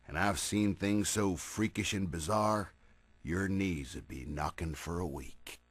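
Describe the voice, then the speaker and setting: A wary, stern cartoon sheriff with an American accent. His voice is fairly low and ominous, recorded dry. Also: measured pace, breathy edge, no echo. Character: ominous middle-aged small-town sheriff, stern and wary.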